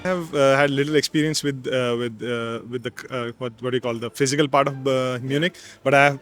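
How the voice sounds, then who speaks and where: Man, interviewee, hesitant and filler-heavy, monotone, faint music bed. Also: mid-range voice, brisk. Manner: hesitant, many fillers and restarts. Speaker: street interviewee. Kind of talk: casual street interview answer.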